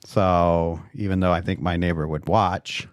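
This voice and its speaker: A man's voice: sits low, steady tone, unhurried, no echo, studio quality. A man hosting a podcast in casual chat, speaking frankly and rambling loosely. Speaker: podcast host.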